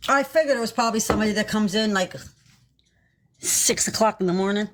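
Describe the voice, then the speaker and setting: A woman's voice: medium pitch, quick pace, background noise. A woman in casual livestream chat, swearing and teasing her friend, laughing often.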